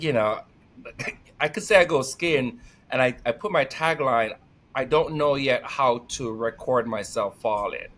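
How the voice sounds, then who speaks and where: Jamaican man, podcast guest, energetic storyteller, animated, quick to laugh. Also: mid-range voice. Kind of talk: storytelling conversation.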